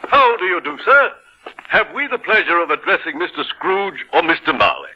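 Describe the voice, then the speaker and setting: An actor playing a polite, earnest gentleman collecting for charity, with formal manners, in a 1950s radio drama. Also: sits high, huge pitch swings, normal speed, creaky, background noise, full character voice. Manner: formal, courteous. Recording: dull 1950s radio broadcast recording.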